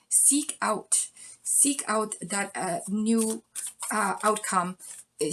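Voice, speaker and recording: A woman's voice: medium pitch, creaky, a little hiss or hum, rough recording. A woman giving a pick-a-card tarot reading, speaking straight to the viewer in a coaching tone with frequent ums. She is losing her voice. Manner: warm direct address with many ums, coaching tone.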